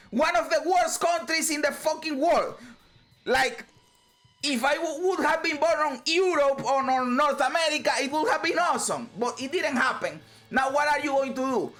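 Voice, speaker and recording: Woman vlogger, higher voice, blunt and profane, faint music under. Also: a bit bright. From Venezuela. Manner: emphatic, swears freely, repeats phrases. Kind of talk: casual motivational monologue.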